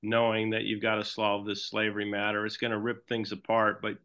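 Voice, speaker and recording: A middle-aged man, a baseball team manager, answering questions in a relaxed, rambling way and repeating words. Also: on the low side, brisk, smooth. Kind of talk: casual interview answers.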